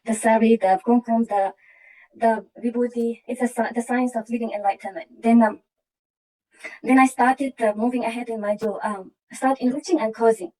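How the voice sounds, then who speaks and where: A woman from Iraq, earnestly sharing a personal testimony with halting restarts. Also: on the high side, fairly even intonation, a little mellow, far from the mic, poor audio. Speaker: program participant sharing her story.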